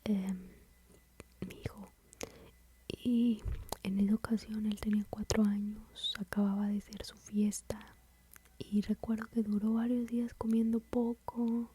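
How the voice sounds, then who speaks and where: A woman with a Mexican accent whispering softly as she tells a personal story about motherhood, in a confiding, rambling way. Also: mid-range voice, little pitch movement, measured pace, slight background noise. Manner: soft, confiding, rambling with fillers.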